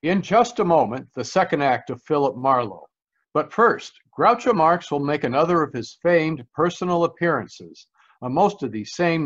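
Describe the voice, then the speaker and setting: Man, fairly high voice, radio announcer, polished sales delivery, 1940s style, dull audio.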